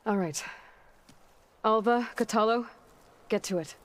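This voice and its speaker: A woman's voice: on the high side, measured pace, lightly acted. A woman playing a determined young warrior in a video game, terse and commanding as she leads a rescue mission.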